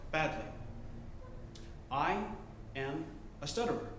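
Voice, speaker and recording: A man in his twenties telling a story on stage, choosing and enunciating each word carefully, with deliberate pauses. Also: fairly high pitch, lively intonation, background noise. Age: 20s. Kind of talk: live storytelling performance. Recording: roomy live-venue sound.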